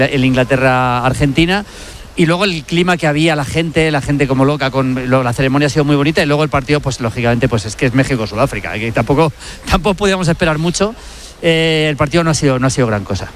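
A man's voice: fairly high pitch, fast, slight background noise. A man giving casual football analysis from a stadium, often restarting his phrases. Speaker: football commentator.

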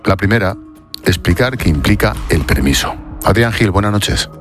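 A man's voice: low-pitched, animated, moderate pace, a bit bright, soft background music. A man with an accent from Spain, presenting news and interviewing guests in a broadcast style.

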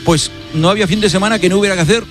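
Reminiscing man in his sixties, music behind. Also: high voice. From Spain (Barcelona). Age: 60s. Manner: reminiscing, halting with fillers. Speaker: radio sports reporter. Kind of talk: casual radio interview.

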